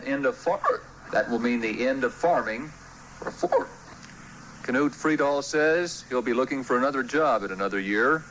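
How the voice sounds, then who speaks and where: A man's voice: mid-range voice, fairly bright tone, rough recording. A man reading a scripted radio news report, stumbling over his words. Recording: archive radio tape, faint noise.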